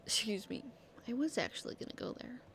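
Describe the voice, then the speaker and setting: A woman's voice: fairly high pitch, sing-song, fairly quick, a bit bright, a little hiss or hum. A woman giving casual gameplay commentary as a streamer, talking to herself playfully and swearing casually. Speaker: game streamer.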